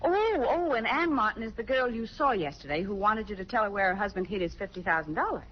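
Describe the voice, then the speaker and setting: A woman's voice: mid-range voice, lively intonation, quick pace, natural voice. An actress playing a detective's curious, possessive girlfriend in 1940s radio crime drama style. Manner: inquisitive, possessive. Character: curious, jealous girlfriend of a detective, adult woman. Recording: dull, noisy 1940s radio recording.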